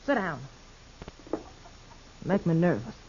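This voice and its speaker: An intense, plain-spoken young ranch woman in a vintage radio western. She has a rural American accent and lively inflection. The sound is dull and slightly noisy. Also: on the low side, takes it slowly. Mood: tense, firm. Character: earnest, worried young frontier ranch sister. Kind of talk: 1950s American radio drama acting.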